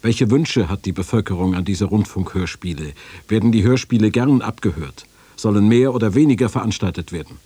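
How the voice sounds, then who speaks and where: Low dark male voice, bit husky, reading official stuff formally, measured. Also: no room sound. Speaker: quotation reader in a radio feature. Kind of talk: formal reading of documents and quotations.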